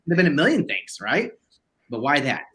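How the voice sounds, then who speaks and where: Fast-talking enthusiastic male host. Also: on the high side, huge pitch swings, a bit bright. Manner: enthusiastic, rambling. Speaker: podcast host. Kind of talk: casual podcast chat.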